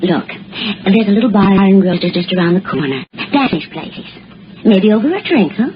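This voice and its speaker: A woman's voice: medium pitch, expressive, normal speed, natural voice. A woman playing an evasive, coy young blonde schemer with a sassy, wary manner in a 1960s radio drama. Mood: urgent, coaxing. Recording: muffled, noisy 1960s radio broadcast.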